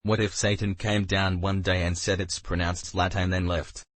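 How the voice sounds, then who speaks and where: A man reading online forum comments aloud in a deadpan way. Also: sits low, normal speed. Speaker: comment reader.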